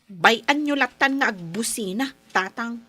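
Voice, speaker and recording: A woman's voice: a little high, lively intonation, a little slow, pushed and bright, full character voice. An actress playing a petulant, demanding young girlfriend in an Ilocano radio drama, with a whiny, sulking delivery. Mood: sulky, upset. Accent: Ilocano, northern Philippines.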